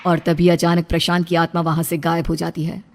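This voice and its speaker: A woman's voice: on the low side, monotone, fairly quick. A woman narrator reading a scripted story in a dramatic storytelling style.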